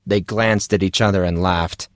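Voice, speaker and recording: A man's voice: low voice, fairly even intonation, normal speed, no echo, studio quality. A man narrating a scripted story as the narrator of an audio drama.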